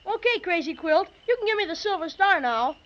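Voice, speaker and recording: A woman playing an eager, polite, excitable young boy in a 1930s radio drama. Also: very high-pitched, fairly quick. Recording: dull lo-fi 1930s radio recording.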